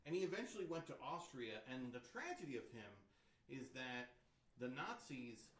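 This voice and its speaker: A man's voice: medium pitch, sing-song, moderate pace. A man speaking informally as a pianist introducing pieces at a recital, in a conversational storytelling way with wry asides. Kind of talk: informal spoken concert commentary. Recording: roomy live sound with faint noise.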